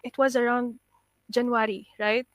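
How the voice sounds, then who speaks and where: Relaxed Filipino woman, dry close sound. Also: fairly high pitch, moderate pace, dry recording. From Philippines. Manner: relaxed, storytelling, laughs often, mixes Tagalog into English. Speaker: guest, business partner. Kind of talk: casual livestream chat.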